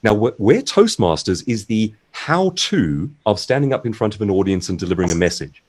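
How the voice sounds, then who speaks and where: A man with a fairly low, husky voice talks through advice in an online seminar. His intonation swings widely as he explains each point in articulate, carefully phrased conversational sentences. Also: big pitch swings, fairly quick, darkish tone. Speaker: author and speaker giving a writing seminar. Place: online video-call seminar.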